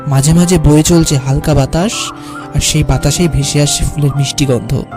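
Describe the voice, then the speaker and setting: A man playing a carefree, stubborn young city poet who tells his own tale in an animated horror story. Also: on the high side, normal speed, music in the background, close to an everyday voice. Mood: calm storytelling. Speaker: narrator and lead character. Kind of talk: first-person story narration and cartoon dialogue.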